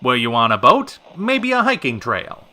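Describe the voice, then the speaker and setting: Bright, high-pitched old-time radio host. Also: male voice, huge pitch swings, moderate pace, heavily acted. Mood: prying. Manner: teasing, showy. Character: teasing, showy male 1940s radio show host. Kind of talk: 1940s radio acting.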